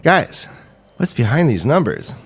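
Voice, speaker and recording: A man's voice: mid-range voice, measured pace, vocal fry, slightly rough. A man hosting a comedic fantasy football livestream, joking and reading off stats. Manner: animated, joking, reads stats. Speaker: livestream host.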